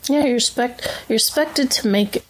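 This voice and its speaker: A woman's voice: on the high side, moderate pace, slightly rough. A woman who grew up as a missionary kid, talking as an interview guest in a casual, reflective way with many fillers. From Brazil, raised as a missionary kid. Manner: chatty, many fillers and restarts.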